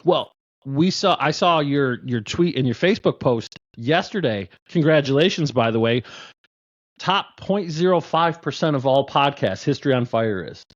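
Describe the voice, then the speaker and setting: A man's voice: mid-range voice, expressive, normal speed, no echo. A man hosting a podcast, chatting enthusiastically and joking with his guest, often restarting his sentences. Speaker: podcast host. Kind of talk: casual interview chat. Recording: dull dry lo-fi sound.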